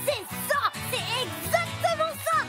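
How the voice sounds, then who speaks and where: An actor voicing an excitable, curious young talking truck in a children's cartoon, with a childlike, playful delivery. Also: unhurried, exaggerated voice. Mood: excited. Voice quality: high, childlike. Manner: exclamatory, playful. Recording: loud music under the voices.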